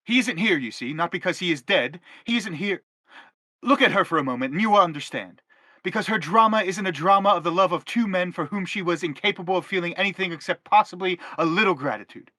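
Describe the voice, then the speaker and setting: Sharp, high-voiced, self-justifying father played by a man. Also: animated, quick pace, no echo, studio quality. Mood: earnest. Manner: emphatic, wordy, declamatory. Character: earnest, self-justifying father pleading his family's case. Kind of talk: theatrical stage play acting.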